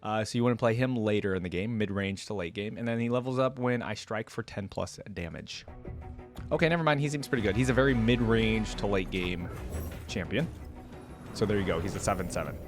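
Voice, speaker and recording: A man hosting a reaction video, giving informal, excitable commentary and thinking aloud as he goes. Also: on the low side, a little fast, over music. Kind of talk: casual reaction commentary with some reading aloud.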